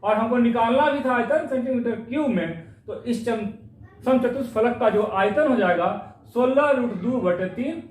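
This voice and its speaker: A man's voice: extremely high pitch, distant mic. A man teaching an exam-prep maths lesson, working through each problem step by step and repeating phrases as he goes. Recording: echoey room, voice off-mic.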